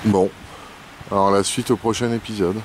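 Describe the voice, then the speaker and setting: A man's voice: lowish voice, fairly even intonation, normal speed. A middle-aged man, narrating a family motorhome trip in a casual, chatty way with many fillers. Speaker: family travel vlogger. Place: outdoors at a ski resort and in a motorhome. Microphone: handheld camera mic. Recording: noisy outdoor camera audio. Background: vehicle and outdoor ambience.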